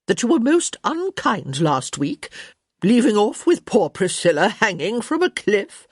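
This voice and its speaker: An elderly countess, as acted by a female narrator, crotchety and imperious. Her pitch rises and swoops through sharp-tongued, emphatic pronouncements, with a husky edge. It is a storybook grande dame, recorded dry and close. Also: big pitch swings, measured pace, no echo. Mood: indignant, demanding.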